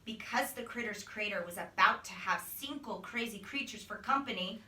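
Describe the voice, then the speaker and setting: A woman reading a children's story aloud as a teacher, with expressive, animated narration. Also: mid-range voice, moderate pace, slight background noise. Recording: echoey room, distant microphone.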